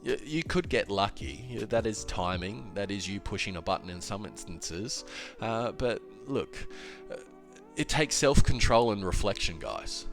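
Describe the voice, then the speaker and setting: A man's voice: on the low side, measured pace, soft background music. A man with a stuffed-up, slightly nasal voice, talking casually and emphatically about trading in a solo video. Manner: animated, emphatic, with filler and restarts. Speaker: crypto trading educator.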